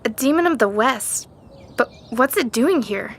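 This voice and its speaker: A woman's voice: a little high, animated, measured pace. A woman playing an earnest, headstrong young sorceress in a fantasy audio drama. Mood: urgent.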